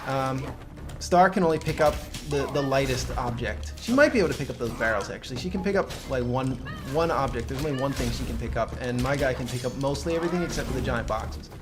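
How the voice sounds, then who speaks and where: A man's voice: mid-range voice, expressive, fast. A man giving casual gameplay commentary and guiding his co-op partner. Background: game music.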